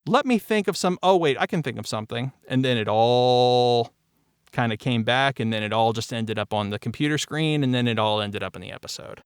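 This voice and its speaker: Middle-aged man, smooth clear close-miked voice. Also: medium pitch, fairly quick, no room sound. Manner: emphatic, repeats and circles back over phrases. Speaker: writer and creator of an audio drama. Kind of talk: cast discussion, candid personal reflection.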